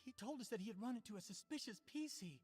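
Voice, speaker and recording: An actor voicing an earnest young worker in a video game, giving a measured account. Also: mid-range voice, animated, a little mellow, breathy edge, big-room sound, soft background music. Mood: earnest, concerned. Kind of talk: game voice acting.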